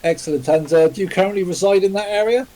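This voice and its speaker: A man's voice: high-pitched, fast, mellow. A man hosting a live podcast over a voice chat, polite and formal, with many fillers. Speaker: podcast host, interviewer. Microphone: remote voice chat call.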